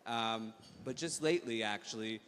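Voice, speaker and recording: A man with an American accent hosting an onstage conference interview, rambling and halting with many fillers. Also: on the low side, a bit bright. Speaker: host. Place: large hall on stage. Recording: reverberant hall, faint noise.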